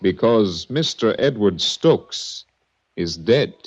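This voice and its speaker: A smug radio-drama villain, courteous on the surface and menacing underneath. His low voice glides widely as he taunts, on a muffled old recording. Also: lively intonation, takes it slowly, full character voice. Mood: taunting. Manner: smooth, mock-courteous, taunting. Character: smug, coolly menacing male villain running a sanitarium. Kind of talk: 1940s radio drama acting.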